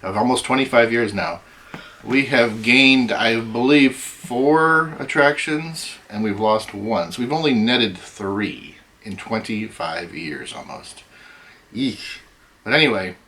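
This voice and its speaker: A middle-aged man hosting a casual chat, rambling with many ums, his voice going hoarse. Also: mid-range voice, slow pace, sharp tone, a little hiss or hum. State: losing his voice. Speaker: host.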